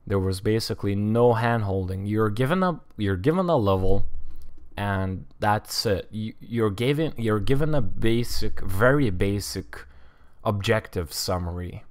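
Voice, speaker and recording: A man's voice: on the low side, lively intonation, unhurried. A man in his twenties with a Lithuanian accent, a streamer chatting casually and rambling as he plays, often restarting words and saying "like". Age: 20s. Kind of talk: casual chat while streaming a game.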